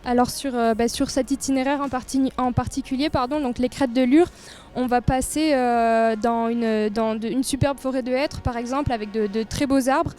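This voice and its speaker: A woman's voice: on the high side, steady tone, moderate pace, a little mellow, soft background music. A woman giving an informal promotional interview as a tourist office representative, speaking with enthusiasm. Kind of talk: informal promotional interview, describing local trails.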